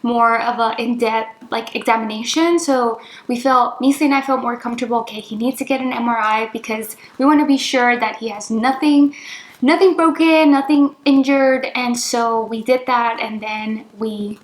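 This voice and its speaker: A woman's voice: fairly high pitch, fairly even intonation, normal speed, few pauses. A woman co-hosting a vlog, chatting casually and reassuringly as she shares a personal story. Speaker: vlogger, co-host with husband. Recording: roomy echo, music playing behind.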